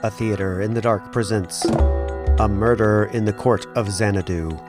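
A man's voice: fairly low pitch, fairly even intonation, a little slow. Male announcer over music. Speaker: announcer reading credits. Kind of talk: scripted announcement.

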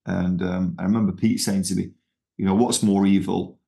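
Gravelly low-voiced Manchester man. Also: level tone, moderate pace, warm tone. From England. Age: middle-aged. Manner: rambling, with many fillers and restarts. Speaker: ministry founder, guest. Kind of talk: conversational interview.

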